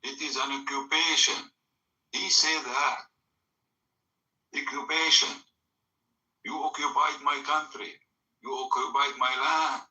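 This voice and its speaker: Emphatic man, bright tense voice. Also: medium pitch, steady tone, measured pace, long pauses, poor audio. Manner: broken English with many fillers, emphatic. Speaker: storyteller recounting family memory. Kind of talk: emotional personal storytelling.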